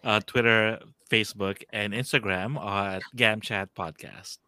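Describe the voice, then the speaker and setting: A man from the Philippines, a guest podcaster, talking with wry, deadpan humor. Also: lowish voice, unhurried, clean tone. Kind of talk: casual chat.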